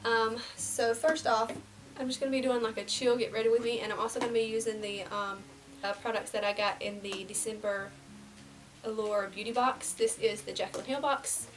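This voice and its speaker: A young woman with a slightly high, faintly nasal voice talks casually and rambles, now and then sinking to a near-whisper. She sits a little back from the camera mic in a small room, with faint music playing underneath. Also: fairly even intonation, normal speed, recorded from a distance. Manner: casual and rambling, drops to near-whispers while applying makeup. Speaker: beauty vlogger. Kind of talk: chatty get-ready-with-me vlog. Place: small indoor room before a plain backdrop.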